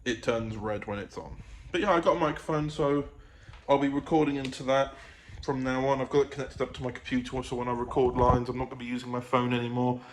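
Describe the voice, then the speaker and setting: A man's voice: mid-range voice, steady tone, fast. A man with a mid-range voice rambles through a casual vlog, hesitant and often correcting himself. The room is echoey, with faint background noise. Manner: hesitant, self-correcting, trailing off. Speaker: vlogger. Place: living room of own apartment.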